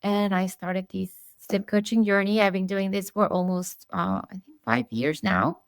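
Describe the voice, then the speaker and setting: A woman in her thirties with a Mexican accent, speaking at a middle pitch with a lot of vocal fry. Her delivery is friendly and conversational, with frequent restarts. The sound is close and dry, with no room echo. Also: moderate pace. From Monterrey, Mexico. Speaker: guest psychologist and sleep coach. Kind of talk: casual interview chat.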